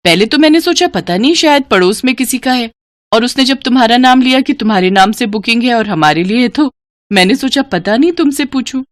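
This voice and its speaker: High-pitched, earnest caring sister acted by a woman. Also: expressive, a little fast, no room sound, studio quality, natural voice. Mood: affectionate. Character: caring, tender-hearted sisters in a family tale.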